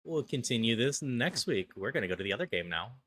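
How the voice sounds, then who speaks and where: A game streamer chatting casually on a livestream in an easygoing, halting way. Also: mid-range voice, big pitch swings, fairly bright tone, no room sound.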